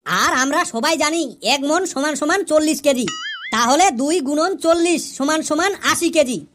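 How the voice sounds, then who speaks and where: Cheeky high-pitched schoolboy. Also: a little slow, background noise. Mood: argumentative. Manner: cheeky, argumentative, long-winded. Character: cheeky schoolboy pupil with absurd smart-aleck answers. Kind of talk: comedy cartoon dialogue.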